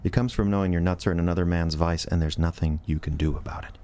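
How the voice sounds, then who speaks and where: An actor playing a fumbling, defiant young radio DJ in an audio drama, stammering and sardonic. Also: male voice, low-pitched, steady tone, quick pace, dark tone, lightly acted. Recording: clear background noise.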